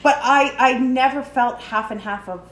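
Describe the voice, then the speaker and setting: A Lebanese-born woman in casual chat, telling animated stories, acting out voices and laughing often. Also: high voice, normal speed, reverberant, crowd noise, low-quality recording.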